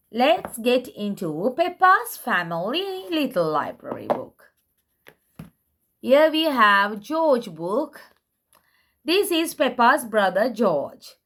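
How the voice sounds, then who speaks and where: Female children's book reader, higher voice, lively melodic swings, very unhurried word-by-word delivery for little ones. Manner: simple, deliberate word-by-word delivery. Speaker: presenter showing and reading children's books. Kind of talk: slow read-aloud for toddlers.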